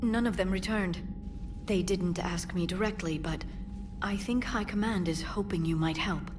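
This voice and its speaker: A woman playing a composed, diplomatic alien crewmate in a video game, speaking in a measured, earnest way. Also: on the low side, over music, close to an everyday voice. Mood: earnest, concerned.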